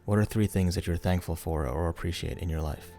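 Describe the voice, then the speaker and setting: A man leading a guided meditation in a soothing, unhurried, instructional way, leaving pauses between phrases. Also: deep voice. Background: background music.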